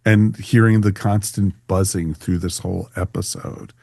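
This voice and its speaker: Deep-voiced man, mellow podcast host. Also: steady tone, normal speed, vocal fry, no room sound, clean studio sound. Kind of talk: casual panel review chat.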